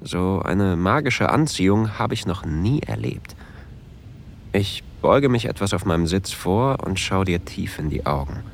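Actor as introverted male traveler, very low voice, intimate hesitant delivery, romantic audio drama, clear background noise. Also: expressive, measured pace, exaggerated voice. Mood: intimate, longing. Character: introverted, flustered male train traveler narrating a romantic encounter.

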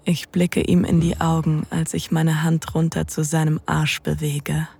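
A low-pitched, mellow female voice from an actress playing a dominant, sensual lover in an erotic audio drama. She narrates intimately and unhurriedly, breathy and often close to whispering, with a teasing edge. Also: completely flat delivery, measured pace, heavily acted. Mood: sensual, slow. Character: seductive, dominant adult woman lover. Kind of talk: intimate first-person scripted narration.

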